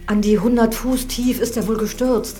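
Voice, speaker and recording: A woman's voice: on the high side, fairly even intonation, moderate pace, mellow, faint music behind, lightly acted. A woman playing a village housekeeper in a radio drama, plain-spoken and deferential yet blunt. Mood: plain, reporting.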